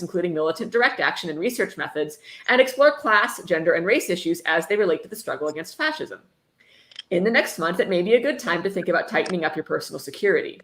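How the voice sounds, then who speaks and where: A woman's voice: lowish voice, fairly bright tone. A woman hosting a casual stream, reading aloud and adding sarcastic, mock-dramatic commentary. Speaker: host reading a book aloud on a fundraising stream. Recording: roomy echo, faint noise.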